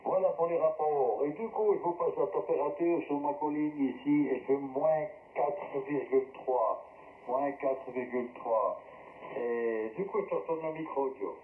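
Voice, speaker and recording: A man's voice: on the high side, a little slow. A man from Switzerland, a radio amateur, giving greetings and signal reports in casual chat over a shortwave link. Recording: faintly noisy, echoing narrowband shortwave radio.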